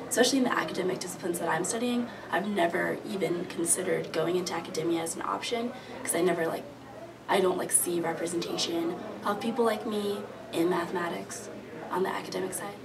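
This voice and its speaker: A young woman speaks casually in an interview as a student. Her voice is medium-pitched with clear fry. She is a little off mic, with crowd murmur behind. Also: fairly even intonation, brisk. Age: young adult. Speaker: college student. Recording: distant mic, faint crowd chatter.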